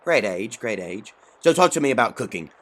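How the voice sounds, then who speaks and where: Guy doing a bossy teasing kitchen supervisor, theatrical, pitch jumps all over, audio drama. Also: big pitch swings, brisk. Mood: teasing, probing. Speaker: voice actor.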